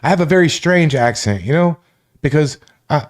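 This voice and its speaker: A man's voice: a little high, animated, normal speed. A man from Florida hosting a casual live stream, rambling, greeting chat viewers by name and swearing freely. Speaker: livestream host.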